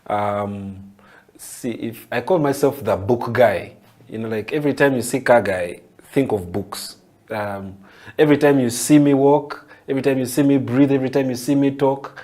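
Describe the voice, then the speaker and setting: Animated Ugandan man telling his story. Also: fairly low pitch. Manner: animated storyteller, repeats words and restarts phrases. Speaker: poet and writer, interview guest. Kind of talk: storytelling interview answers.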